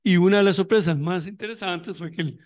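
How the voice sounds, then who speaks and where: A high-voiced man speaking at an academic conference. He speaks reflectively, restarts phrases often and searches for words aloud as he goes. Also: brisk, darkish tone. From Costa Rica. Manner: thoughtful, many restarts and fillers, reflective. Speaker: panelist on migration in education; university educator running tutoring programs.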